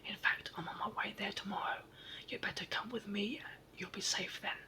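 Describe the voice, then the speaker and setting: A storybook reader gives us a cross little saucepan man, hard of hearing and quick to take offence. It is all breathy whisper, pitched in the middle. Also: sharp tone. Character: deaf, muddled little old saucepan man.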